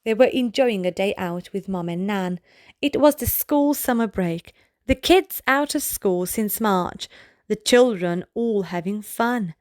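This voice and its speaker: A woman reading a children's storybook aloud in a measured way, pausing phrase by phrase. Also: medium pitch, lively intonation, a little mellow, no echo, studio quality. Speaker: read-aloud narrator of a children's book. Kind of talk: slow read-aloud of short storybook pieces.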